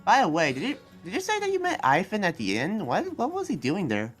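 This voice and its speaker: A man playing an earnest, hesitant demon slayer and researcher in an improvised Minecraft anime roleplay, speaking haltingly and trailing off. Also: sits high, huge pitch swings, fairly bright tone, husky, soft background music, exaggerated voice. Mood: uneasy, businesslike.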